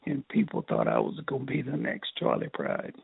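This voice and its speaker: Raspy older man on a phone line. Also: mid-range voice, huge pitch swings, dry recording. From Texas. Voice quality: raspy, strained voice. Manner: halting, repeats words, laughs at himself. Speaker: interviewee. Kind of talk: casual storytelling interview.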